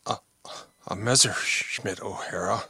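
Slow, halting wounded pilot. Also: male voice, fairly low pitch, bright, forceful tone, breathy edge, dry recording, clean studio sound, full character voice. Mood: weak, straining. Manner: halting, stammering, gasping. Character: gravely wounded male pilot, anguished and weak. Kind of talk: old-time radio drama acting.

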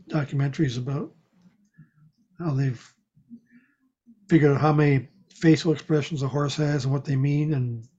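A man chatting casually in a measured, explanatory way with pauses, on a dull lo-fi recording. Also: a little high, mellow, slightly rough. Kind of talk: casual chat. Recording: dull, lo-fi sound.

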